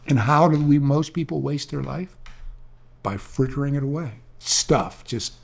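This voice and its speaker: Husky older man telling earnest stories. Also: medium pitch, a little slow. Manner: rambling, earnest, repeats himself with restarts. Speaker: author and speaker. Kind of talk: reflective storytelling talk.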